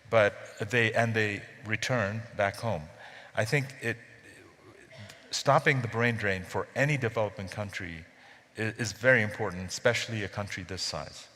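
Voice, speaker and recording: Middle-aged man, conference panelist, low voice, halting delivery, hall reverb. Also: pushed and bright. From Bangladesh. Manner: hesitant, many fillers and restarts. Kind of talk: panel discussion.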